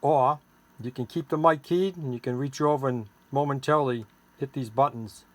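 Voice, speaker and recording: A man's voice: medium pitch, moderate pace. A man giving an informal, rambling, hands-on product demonstration as the seller of the gadget. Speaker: seller demonstrating his homemade radio gadget. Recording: noisy recording with clicks.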